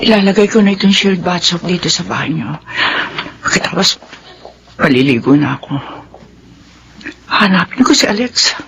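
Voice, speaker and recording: A woman's voice: fairly low pitch, expressive, normal speed, long pauses. A woman with a Filipino accent playing an anguished, long-suffering mother in a radio drama, with emphatic delivery. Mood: quiet resolve. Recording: radio drama with music underneath.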